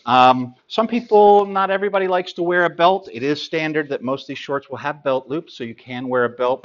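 A middle-aged man talks through sailing gear in a practical, unhurried way, filling pauses with ums and uhs. His voice sits at an ordinary pitch. The studio recording is slightly dull. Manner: practical, hands-on, many ums and uhs while handling gear. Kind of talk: product explainer.

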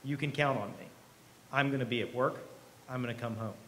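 A middle-aged man speaks to an event from a podium microphone, with clear ambient noise around him. His voice sits at an average pitch. He delivers his keynote in a conversational, earnest way, pausing deliberately to drive points home. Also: a bit bright, poor audio. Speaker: company president, keynote speaker. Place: event hall stage. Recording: live podium speech with clear background noise.

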